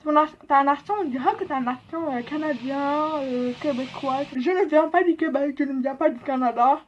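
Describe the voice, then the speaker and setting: Young deaf woman with a soft accent. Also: sits high, a little hiss or hum. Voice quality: slightly nasal, deaf-speech accent. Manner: deaf speaker's accent, halting with many pauses and fillers. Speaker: vlogger. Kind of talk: casual explanatory vlog. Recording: dull lo-fi sound.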